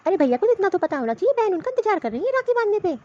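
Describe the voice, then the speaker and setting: Woman as young married sister, cartoon family story, extremely high voice, hurt, plaintive, muffled recording. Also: sing-song, very fast, dark tone. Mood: hurt, dejected. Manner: plaintive, disappointed. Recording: dull, lo-fi cartoon voice track.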